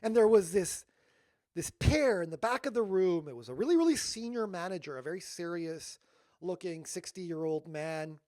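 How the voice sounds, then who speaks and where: A man giving a storytelling talk as a workshop leader, animated but dropping to hushed tones at emotional moments. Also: high voice, normal speed, no echo, studio quality.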